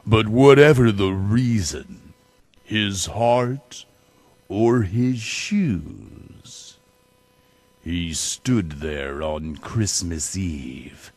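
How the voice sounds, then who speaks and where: A man reading a children's story theatrically, with dramatic, drawn-out emphasis. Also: fairly low pitch, a little mellow, no echo. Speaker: storybook reader.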